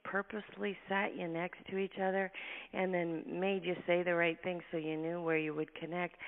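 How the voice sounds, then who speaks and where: A woman hosting a radio show, talking fast and effusively in a husky voice, tired from travel. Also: on the low side. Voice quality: husky, gravelly voice. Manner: fast, effusive talker, laughs often. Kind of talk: casual radio interview chat. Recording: tinny phone line with long reverb.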